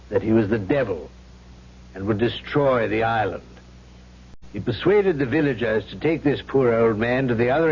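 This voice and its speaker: Man acting solemn kindly priest, formal measured talk, old radio play, muffled w faint hum. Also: mid-range voice, lightly acted. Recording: dull, faintly humming vintage radio recording.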